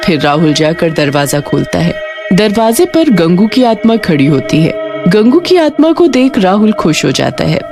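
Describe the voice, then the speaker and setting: A woman's voice: on the low side, big pitch swings, normal speed. A woman narrating a scripted cartoon horror story as its storyteller. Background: prominent background music.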